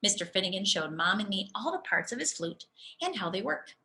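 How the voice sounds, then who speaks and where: A woman teacher reading a children's storybook aloud expressively, speaking to young listeners in an animated, demonstrative way. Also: mid-range voice, brisk, creaky.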